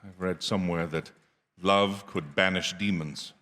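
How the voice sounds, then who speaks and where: An actor playing a haunted, desperate traveling man in a radio drama, halting and prone to stammer. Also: deep voice, fairly even intonation, background noise. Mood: brooding. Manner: halting, stammering when distressed. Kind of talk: radio drama acting, first-person narration and dialogue. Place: theatre stage. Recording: live theatre reverb, music underneath.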